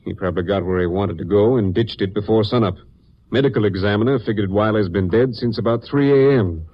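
An actor playing a grave, weary Texas Ranger captain, somber and matter-of-fact, in an old radio crime drama. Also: male voice, fairly low pitch, fairly quick, breathy edge. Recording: muffled, noisy old radio recording.

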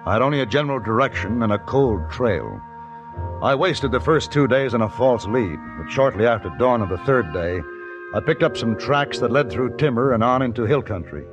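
An actor playing a laconic, steady investigator in an old radio western, with an American accent and a terse, unruffled delivery. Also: male voice, mid-range voice, normal speed, muffled recording, over music, natural voice. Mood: measured, narrating. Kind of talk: old-time radio western acting and first-person narration.

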